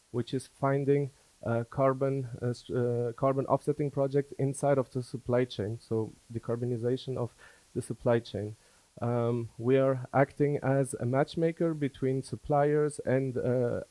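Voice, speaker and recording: Halting male business presenter, bright dry sound. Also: mid-range voice, no room sound, poor audio. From born in Afghanistan, schooled in France. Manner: halting, hesitant phrasing. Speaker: company founder and managing director presenting.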